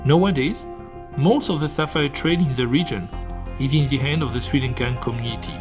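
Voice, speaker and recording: A man reads a documentary narration in a measured, formal voice at a middle pitch. Clear music plays underneath him.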